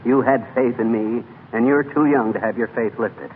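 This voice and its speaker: An actor playing a blustery, overconfident husband with a brash, folksy manner in a 1940s radio comedy. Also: male voice, mid-range voice, moderate pace, natural voice. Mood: fond, breezy. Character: blustery, overconfident, boyishly enthusiastic middle-aged husband. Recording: noisy, tinny 1940s radio recording.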